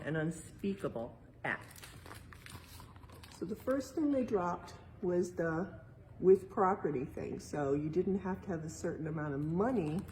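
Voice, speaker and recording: A woman reading a picture book aloud in a museum exhibit like an educator, pausing for casual explanations and checking in with little tag questions. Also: deep voice, darkish tone, slight background noise, poor audio. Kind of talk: read-aloud storytime with casual explanatory asides.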